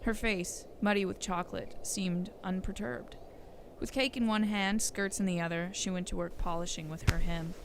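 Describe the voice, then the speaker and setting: Low, creaky-voiced woman narrating. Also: fairly even intonation, normal speed, noisy background. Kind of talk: scripted audio story narration. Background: music.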